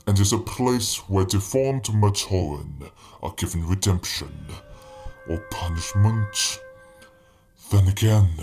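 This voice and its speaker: A deep, slow cartoon villain, acted by a man ruling a robotic realm. He is smug and menacing, theatrically polite, with music playing clearly behind him. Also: darkish tone. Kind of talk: fan-dub cartoon villain acting.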